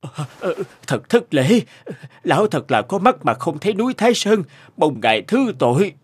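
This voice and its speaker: A man's voice: sits high, big pitch swings, normal speed, breathy edge, heavily acted. A man playing a humble, deferential old village innkeeper in a cartoon fairy tale, speaking in a hesitant, polite, servile way. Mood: apologetic.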